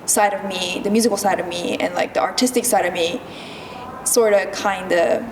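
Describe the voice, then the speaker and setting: A young woman with a Japanese accent, a singer answering interview questions softly and thoughtfully, with many fillers. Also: medium pitch, fairly even intonation, recorded from a distance. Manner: soft, thoughtful, slow with many fillers and giggles. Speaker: singer-songwriter guest. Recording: echoey convention room with noise.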